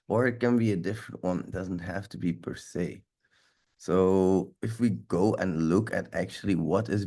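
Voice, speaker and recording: A man's voice: deep voice, darkish tone. A man with a stuffy nose giving a loose, rambling live walkthrough of a tech tool as the instructor, swearing now and then. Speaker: security instructor.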